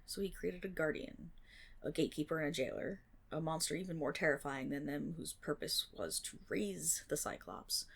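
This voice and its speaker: A woman playing a nerdy, teasing best friend in an ASMR roleplay, rambling through myth with dramatic pauses and wry, animated asides. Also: lowish voice, creaky, slightly rough.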